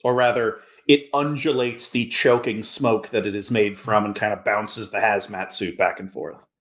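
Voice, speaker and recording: A man running a live-play tabletop game as game master, narrating at an easy pace with pauses and fillers. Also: on the low side. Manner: relaxed storyteller with drawn-out pauses and fillers.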